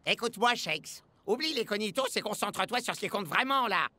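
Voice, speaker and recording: A man playing a brash, excitable young footballer in a cartoon, slangy and pushy with his pals. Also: high-pitched, fairly quick, no echo. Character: brash young male footballer, excitable video-game-loving best pal. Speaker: voice actor in a children's animated series.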